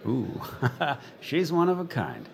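A man's voice: on the high side, wildly swooping pitch, slow, gravelly, full character voice. A man playing a controlling, possessive wealthy boyfriend in an audio drama, commanding and probing, with a teasing edge. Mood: smug, amused. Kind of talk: naturalistic audio drama acting.

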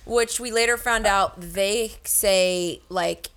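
Woman, medium pitch, casual comic storyteller, slangy, profane, acts out quotes. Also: slow. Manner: profane, animated quoting, uses slang.

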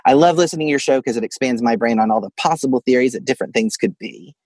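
A man's voice: medium pitch, quick pace, darkish tone, slightly rough, dry recording. A man from Arkansas calling in to tell a personal story in a casual, chuckling way. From Arkansas, United States. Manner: chuckles through his story. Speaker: caller telling a personal ghost story. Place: closet at home.

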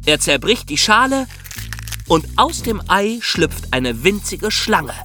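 A man's voice: high-pitched, big pitch swings, takes it slowly, bright, forceful tone, faint music behind. A man hosting a podcast, telling scripted stories in a dramatic way for young listeners. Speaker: podcast host and narrator.

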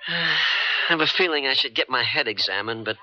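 An actor playing a cynical, cash-strapped American charter boat skipper in a 1970s radio drama, wry and offhand. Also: male voice, medium pitch, animated, a little slow, bright, forceful tone. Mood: reluctant. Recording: dull 1970s radio broadcast recording.

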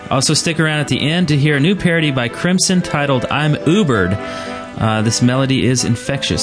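A man hosts a podcast in an informal, chatty style. His voice sits at an average pitch, and faint music plays behind him. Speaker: podcast host. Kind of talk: casual podcast chat.

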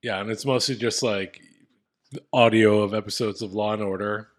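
A man's voice: lowish voice, fairly even intonation, normal speed. A man co-hosting a podcast in casual, joking banter that can turn profane. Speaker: podcast co-host.

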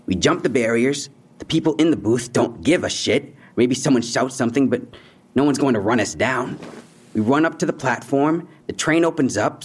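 Husky young man acted, defensive street bravado. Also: medium pitch, lightly acted. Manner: slangy, posturing, evasive. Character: defensive young robbery suspect, tough talk hiding fear. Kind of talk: naturalistic audio drama acting.

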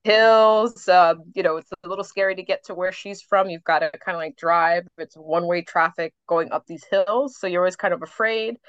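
Chatty, slightly low-voiced woman. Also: normal speed, few pauses, smooth, no echo, muffled recording. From New York City. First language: Haitian Creole. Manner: chatty, reflective, laughs often. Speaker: author and guest. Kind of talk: podcast interview.

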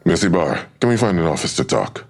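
Roomy distant sound, formal male detective, gravelly, businesslike, audio drama. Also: sits low, big pitch swings, fast.

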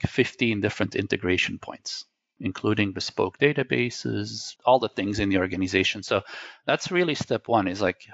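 A man from Belgium who grew up speaking Dutch walks through his points step by step, tagging them with a questioning "right?". His voice sits low with a gravelly edge. The sound is dry and slightly dull, like a lo-fi call. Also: fairly bright tone, no echo. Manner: explains step by step, asks rhetorical questions with "right?". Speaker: tech company guest. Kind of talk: conversational explaining.

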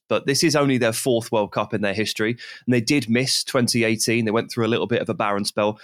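A man's voice: mid-range voice, fairly bright tone, dry recording. Man giving football analysis, dry sound. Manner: detailed, enthusiastic analysis. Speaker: podcast co-host.

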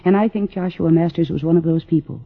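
A woman with an American accent playing a determined, quick-witted defense attorney in a 1950s radio mystery, wry and assured. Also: deep voice. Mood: earnest, determined. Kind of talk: 1950s radio drama acting. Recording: muffled old radio recording.

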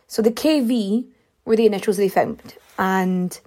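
A woman host telling a story casually, full of fillers, with swings in pitch and a voice she says is going. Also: a little slow, dark tone. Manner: chatty, many fillers, big pitch swings. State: losing her voice.

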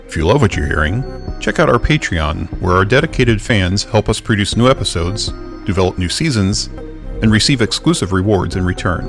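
A man's voice: on the low side, lively intonation, fairly quick, vocal fry. A man reading a scripted podcast introduction as the announcer. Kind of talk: scripted narration. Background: music.

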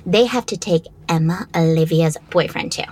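A woman's voice: on the low side, moderate pace, husky. A woman playing a self-absorbed diva actress in a comedic audio drama, breezy, theatrical and condescending. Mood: placating, self-righteous. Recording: loud music bed under the voice.

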